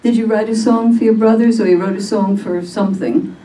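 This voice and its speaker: Gentle woman moderator in an echoey room. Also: mid-range voice, fairly even intonation, fairly quick. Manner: gentle, tentative questioning. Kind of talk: moderating an audience Q&A. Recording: roomy, distant with faint noise.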